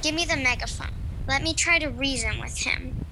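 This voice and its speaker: A woman's voice: high voice, moderate pace, creaky, noisy background. A young girl playing a commanding, urgent female mad scientist in an audio drama. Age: child, nine to twelve. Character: commanding female mad scientist hunting her escaped creation. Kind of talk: youth audio drama acting.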